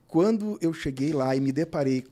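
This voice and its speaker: A man telling stories in a casual podcast chat, animated and jumping high on stressed words. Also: moderate pace.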